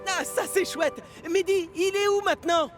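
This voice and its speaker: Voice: very high voice, fairly even intonation, moderate pace, music in the background. An actor voicing a sweet, naive young male tank engine in a children's cartoon, childlike and hesitant. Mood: eager.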